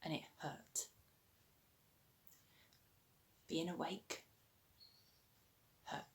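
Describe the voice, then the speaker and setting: Actress as female recovering addict, haunted and weary; low voice, measured dramatic monologue delivery. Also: level tone. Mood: pained, quiet. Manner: measured, deliberate, pausing delivery.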